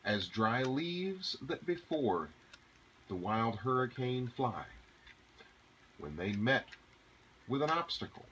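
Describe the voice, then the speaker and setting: A man's voice: mid-range voice, big pitch swings, takes it slowly, pauses often, fairly bright tone, slight background noise, poor audio. A man reading a rhyming bedtime story aloud to a child, with a sing-song, dramatic storytelling delivery. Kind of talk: expressive read-aloud of a rhyming bedtime poem.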